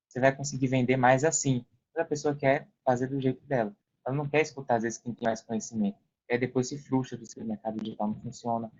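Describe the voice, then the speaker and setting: A slightly muffled podcast recording of a young Brazilian man with a medium-pitched voice. He explains his work casually, in the manner of a guest, and keeps adding little tag questions. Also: a little mellow. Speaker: podcast guest, online affiliate marketer working in support. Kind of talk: casual interview talk.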